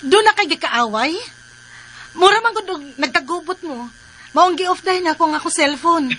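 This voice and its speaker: High-voiced, teasing young Filipina. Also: female voice, lively intonation, normal speed, fairly bright tone, slight background noise. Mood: suspicious. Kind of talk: Filipino radio drama acting.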